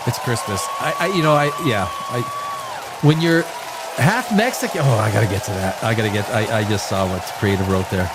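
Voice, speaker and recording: A man hosting a casual live stream, his voice a little stuffed up from a cold. He rambles on in friendly chat with the viewers, repeating and restarting his sentences. His pitch rises and falls in a lively way. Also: normal speed, a loud crowd, heavy background noise. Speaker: livestream host.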